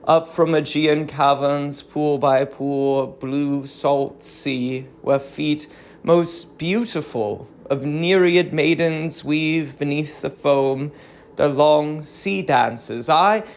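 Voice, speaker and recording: A reader playing a lordly ancient god of the sea, grieving and grave. The lines come very slowly in stately declamation, with a creaky edge, as in a tragic verse drama on audiobook. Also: medium pitch. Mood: solemn, lordly.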